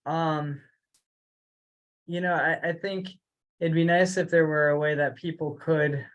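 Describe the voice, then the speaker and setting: A man's voice: a little high, steady tone, a little slow, muffled recording. A man leading a group discussion on a teleconference, speaking hesitantly as he thinks aloud. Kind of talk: discussion on an online group call. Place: remote teleconference.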